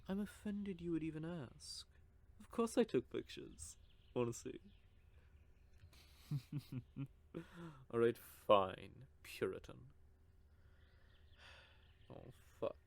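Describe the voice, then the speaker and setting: A man voicing a cocky, possessive male vampire who teases with soft whispered asides, in an audio roleplay. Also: a little high, sing-song, slow, gravelly, pauses often, heavily acted. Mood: teasing. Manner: teasing, smug, with soft whispered stretches.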